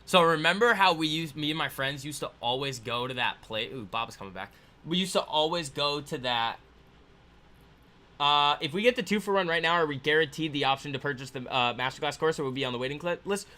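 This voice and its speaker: A man's voice: on the high side, fairly quick, bright, forceful tone. A man in his twenties hosting a casual trading livestream, rambling and laughing as he reads viewer comments aloud. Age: 20s.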